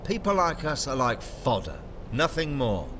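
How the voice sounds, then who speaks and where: A man playing a gruff, bitter, commanding covert operative in an audio drama, giving curt, profane, clipped orders. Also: medium pitch, sing-song, moderate pace, natural voice. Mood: bitter, despairing. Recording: loud vehicle and ambience noise behind.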